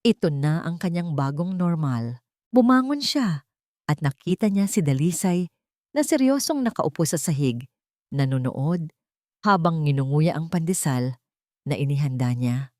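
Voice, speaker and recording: A woman with a Filipino accent reads a dramatic story from a script. Her voice sits low for a woman, and the recording is dry and close, with no room sound. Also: lively intonation, unhurried, pauses often, darkish tone, no room sound. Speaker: narrator.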